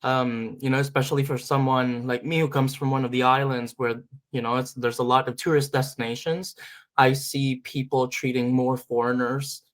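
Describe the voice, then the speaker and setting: A man from the Philippines speaks at a middle pitch as a filmmaker guest in an interview. He talks in a reflective, unhurried way, pausing on "um" and restarting phrases as he gathers his thoughts. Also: level tone, fairly bright tone. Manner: thoughtful, many fillers and restarts.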